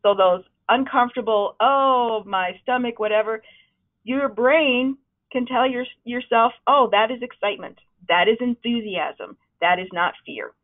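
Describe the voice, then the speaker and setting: A woman's voice: on the high side, measured pace. Woman on tinny webinar audio. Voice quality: loud, projecting voice. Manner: conversational, encouraging, with frequent ums. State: voice starting to wear out. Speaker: presentation trainer. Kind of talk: webinar talk. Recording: narrow, phone-like webinar audio.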